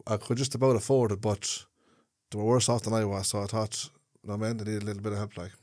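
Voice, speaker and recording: A man's voice: on the low side, level tone, quick pace, darkish tone. An Irish man with a Dublin accent, co-hosting a podcast in casual chat, telling stories and often checking in with his listener. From Ireland. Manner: rambling storyteller, checks in with "yeah?" and "right?". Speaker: podcast co-host.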